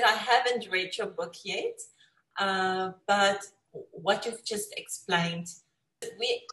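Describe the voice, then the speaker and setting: Woman, interview host, encouraging and effusive, conversational, medium pitch, roomy echoing sound. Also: fairly bright tone. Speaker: talk show host. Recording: echoey room sound.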